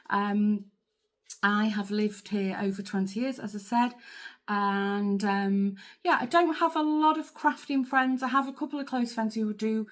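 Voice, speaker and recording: Rambling middle-aged woman. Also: mid-range voice. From England (Manchester). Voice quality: chatty, rambling. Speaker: crafting podcast host. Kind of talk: casual chat to camera.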